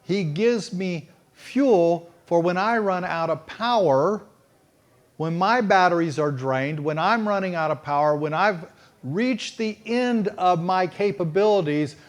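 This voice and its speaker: A middle-aged man with a Texas accent, preaching in a folksy, conversational way and slowing down to stress key phrases. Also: high-pitched. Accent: Texan. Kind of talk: sermon-style storytelling.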